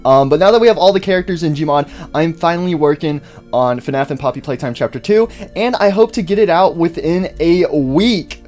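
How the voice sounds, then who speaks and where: A man's voice: a little high, lively intonation, normal speed, few pauses, a little mellow. A man hosting a casual game mod review, upbeat and rambling, with lots of fillers. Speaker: YouTuber host.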